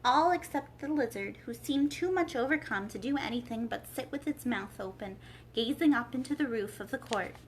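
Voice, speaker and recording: A woman narrating a children's storybook aloud as a library storyteller, in an expressive read-aloud style. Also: fairly high pitch, moderate pace, a little hiss or hum, poor audio.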